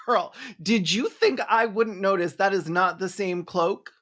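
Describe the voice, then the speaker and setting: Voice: mid-range voice, a little slow, a bit bright, soft background music. A video host giving sarcastic, mocking movie commentary in an incredulous, campy and slangy way. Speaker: video host, film reviewer.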